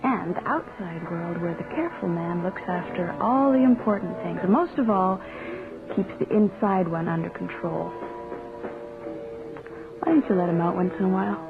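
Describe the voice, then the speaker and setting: A woman's voice: lowish voice, moderate pace, close to an everyday voice. An actress playing a flirtatious, bold female writer in a radio drama, teasing and languid in her delivery. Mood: teasing, coaxing. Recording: thin phone-like radio audio, music behind.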